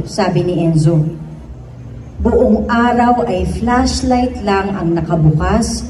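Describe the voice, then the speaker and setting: A woman giving a serious, measured dramatic reading of a story at a staged event. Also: on the high side. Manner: measured, serious, deliberate phrasing. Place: event room before an audience. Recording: echoey room, distant mic, clear noise.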